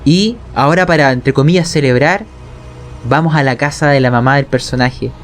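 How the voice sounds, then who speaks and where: A man's voice: mid-range voice, normal speed. A man with a Chilean accent explaining narration technique as a game master, colloquially, often checking in with his listeners. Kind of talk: explanatory conversation on tabletop role-playing narration.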